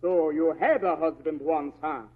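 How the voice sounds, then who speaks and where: An actor playing an excitable, domineering husband with a pompous, declamatory delivery in an old radio play. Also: male voice, a little high, normal speed, close to an everyday voice. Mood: pompous triumph. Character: excitable Russian husband, rebellious and domineering over his wife. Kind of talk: 1940s radio acting. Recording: dull lo-fi old radio recording.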